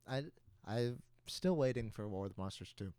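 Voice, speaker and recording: A man's voice: lowish voice, normal speed, warm tone, clean tone, no room sound. A young man with a slightly nasal voice, chatting casually and rambling a little as a podcast co-host. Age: young adult. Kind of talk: casual chat between friends.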